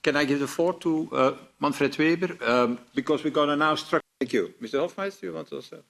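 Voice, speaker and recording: A man chairing a debate, speaking in a hesitant, halting way. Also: a little high, vocal fry, a bit bright, slight background noise.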